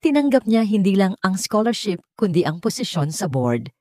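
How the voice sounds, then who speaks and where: A woman's voice: mid-range voice, very animated, normal speed, a little mellow, no echo, studio quality. A woman with a Filipino accent reading a scripted dramatic love story as its narrator.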